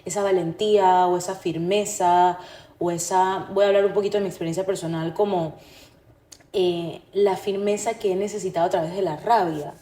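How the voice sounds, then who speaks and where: A woman's voice: on the low side, fairly even intonation, moderate pace, dark tone. A woman co-hosting a podcast, reflecting on personal experience and tentatively searching for her words. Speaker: podcast co-host. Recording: echoey room.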